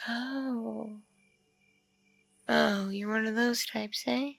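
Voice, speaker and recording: A woman playing a mature, flirtatious, spacey female vampire in an ASMR roleplay, speaking softly and hesitantly, as if in a one-sided intimate conversation. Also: on the high side, steady tone, creaky, no echo, exaggerated voice. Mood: curious, teasing. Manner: hesitant, murmuring, lingering pauses with ums and mms. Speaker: roleplay voice performer.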